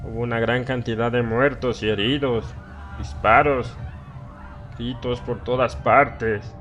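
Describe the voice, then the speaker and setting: An old, drink-sodden villager, acted out by a male narrator tells a tale of small-town horror. He is haunted and agitated, delivering it as a dramatic audiobook monologue at a middling male pitch. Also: fairly even intonation, slow, music in the background, lightly acted. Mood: agitated, grim. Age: older.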